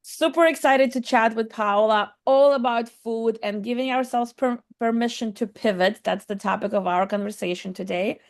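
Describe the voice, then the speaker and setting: A woman's voice: fairly high pitch, normal speed, hardly any pauses. A woman hosting a podcast interview in an upbeat, bubbly way. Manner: upbeat, bubbly, "super excited". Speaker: podcast host.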